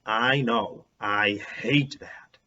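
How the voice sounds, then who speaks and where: A man's voice: lowish voice, bright, forceful tone, full character voice. Man reading as a fussy, bossy young schoolgirl. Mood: matter-of-fact. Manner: emphatic, drawn-out warnings. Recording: dull, lo-fi sound.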